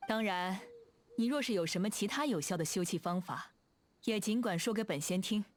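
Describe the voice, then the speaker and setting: A woman's voice: medium pitch, animated, brisk, lightly acted. A woman voicing a proud, aloof immortal adept in a video game, with a composed, lofty manner. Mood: proud, playful. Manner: lofty, archaic self-reference, composed. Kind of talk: game character voice line. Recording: background music behind the voice. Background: music.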